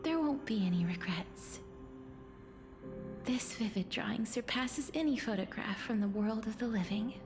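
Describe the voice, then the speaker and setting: Wistful soft breathy game maiden, highish voice, takes her time, music under it. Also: lively intonation, moderate pace. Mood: resigned, gentle. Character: gentle, wistful, soft-spoken young noblewoman, quietly earnest. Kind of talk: video game voice acting, reflective monologue.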